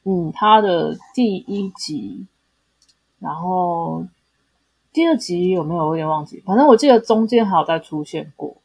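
Low-pitched Taiwanese woman chatting about books. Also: normal speed, gravelly, darkish tone, breathy edge. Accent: Taiwanese Mandarin. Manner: hesitant, self-correcting explanations with many repeated affirmations. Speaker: podcast co-host. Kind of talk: casual book discussion.

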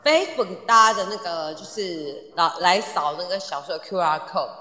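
Bright-voiced woman in echoey group chat. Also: lowish voice, animated, normal speed. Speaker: show co-host. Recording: echoey reverberant online audio room.

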